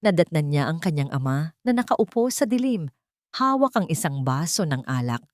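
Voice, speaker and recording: Lower-pitched woman narrating romantic drama. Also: sing-song, normal speed, darkish tone, no room sound, clean studio sound. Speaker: story narrator.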